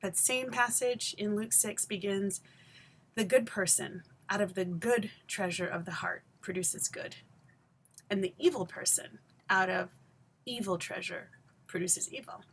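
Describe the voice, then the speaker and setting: A woman from Haiti in her forties delivers a scripted essay straight to camera. Her delivery is measured and emphatic, with pointed stresses and deliberate pauses. Her voice sits at an ordinary middle pitch for a woman. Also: slightly rough. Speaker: vlogger and education scholar.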